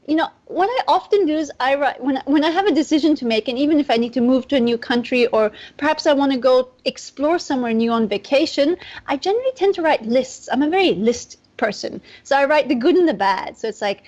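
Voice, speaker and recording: High-pitched young woman, dull lo-fi audio. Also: lively intonation, a little fast, hardly any pauses, darkish tone. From Nepal and Southeast Asia. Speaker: interview guest, entrepreneur. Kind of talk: conversational podcast interview.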